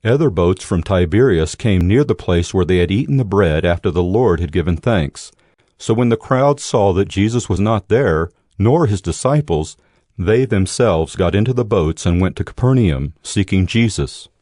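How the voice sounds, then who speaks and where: A man's voice: low voice, animated, moderate pace, warm tone, no room sound, studio quality. A man narrating dramatized scripture as a scripted audio Bible reading. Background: music.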